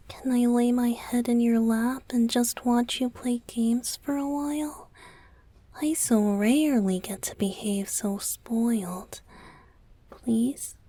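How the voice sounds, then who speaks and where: A woman voicing a tender, playful young male pop idol boyfriend in an intimate ASMR roleplay, soft, breathy and often whispering, with a hesitant, affectionate delivery. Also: fairly high pitch. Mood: tender, sleepy. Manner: slow, hesitant, affectionate, coy.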